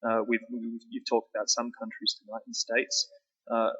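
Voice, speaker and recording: A man asking a formal question from the floor of a public debate, halting and repeating words. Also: mid-range voice, completely flat delivery, a bit bright, husky, highs cut off. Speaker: audience questioner. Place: large town hall. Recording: echoey large hall.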